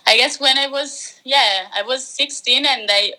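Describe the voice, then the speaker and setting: A young woman talks easily about herself as a podcast guest, her sentences loose and strung together with fillers. Her voice is very bright and sharp, and the sound comes through as a remote call. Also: high voice, a little slow, low-quality recording. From Italy. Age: young adult. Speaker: podcast guest, art graduate. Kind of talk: casual conversational interview.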